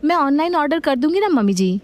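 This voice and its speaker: A woman playing a practical, respectful daughter-in-law in an animated family story, with an accommodating manner. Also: high-pitched, fast. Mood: respectful, helpful. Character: polite, practical, capable modern daughter-in-law.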